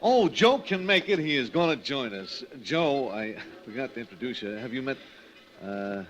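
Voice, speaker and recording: An actor playing a scheming crook who poses as an old pal in a radio drama, with a halting, repetitive, offhand delivery. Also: male voice, medium pitch, expressive, a bit bright, a little hiss or hum, natural voice. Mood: urgent, scheming.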